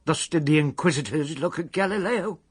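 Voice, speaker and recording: Raspy, obsessive old packer. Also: male voice, fairly high pitch, a little fast. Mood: nostalgic, aggrieved. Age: older. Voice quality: raspy, husky. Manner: wordy, self-important, working-class grammar with grand phrases. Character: tiny, obsessive, wistful old retired removals packer. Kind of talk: radio drama monologue.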